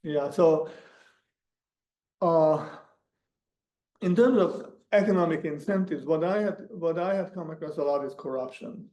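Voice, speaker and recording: A man whose first language is Hungarian, a guest speaker answering audience questions in an academic talk, halting with many restarts. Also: a little high, warm tone. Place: lecture room. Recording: echoey lecture room.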